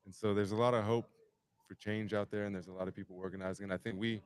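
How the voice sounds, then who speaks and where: Fast-talking deep-voiced male host. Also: little pitch movement, clean tone, no echo. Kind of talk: casual live-stream talk.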